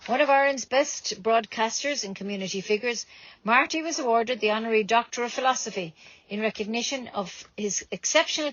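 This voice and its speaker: A woman's voice: medium pitch, fairly bright tone, dry recording, lo-fi sound. An Irish woman reading scripted local news as a community radio presenter.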